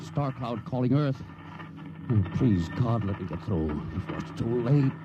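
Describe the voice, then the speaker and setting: An actor playing an embattled starship captain in a 1950s radio drama, speaking with dramatic urgency. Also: male voice, mid-range voice, expressive, measured pace, muffled recording, natural voice. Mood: desperate. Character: embattled male starship captain, defiant and anguished. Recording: noisy vintage 1950s radio recording.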